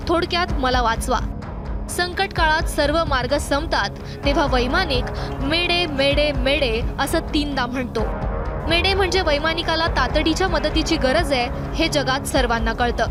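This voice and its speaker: A woman reading a scripted, dramatic news report as a reporter. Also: high voice.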